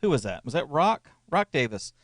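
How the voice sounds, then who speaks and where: A man's voice: a little high, big pitch swings, moderate pace, no echo. Rambling chatty man, dry mic. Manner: rambling, restarts sentences, chuckles. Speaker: co-host, church media consultant. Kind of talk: casual conversational podcast.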